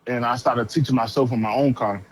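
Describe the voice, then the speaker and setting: A man's voice: medium pitch, fairly even intonation, a little fast, crowd noise. A talkative man chatting casually on the phone in slangy speech, often repeating himself as he goes. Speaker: prospective renter, self-taught auto body painter. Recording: noisy phone call.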